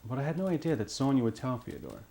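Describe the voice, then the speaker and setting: A man playing a guilt-ridden, hesitant young man in a reflective monologue, halting and repeating words. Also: mid-range voice, huge pitch swings, gravelly, dark tone. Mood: regretful.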